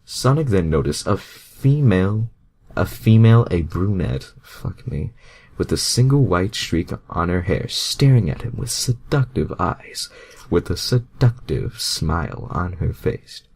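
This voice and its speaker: Very deep, husky amateur male reader. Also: expressive, measured pace, darkish tone. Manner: sweary, stumbles over words. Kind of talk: casual fan fiction narration with asides.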